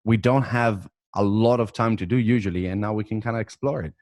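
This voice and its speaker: A man's voice: fairly low pitch, steady tone, brisk, darkish tone, dry recording, studio quality. A man whose first language is Italian hosts a casual podcast chat over a video call, enthusiastic and laughing often. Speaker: podcast host.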